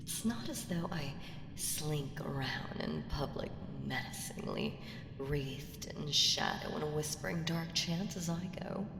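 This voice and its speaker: A woman playing an ancient evil entity in an ASMR audio roleplay, seductive, menacing and playfully condescending, with teasing, drawn-out murmurs that slip into whispers. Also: deep voice, vocal fry, noisy background, heavily acted. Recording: echoing reverb with music underneath. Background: music.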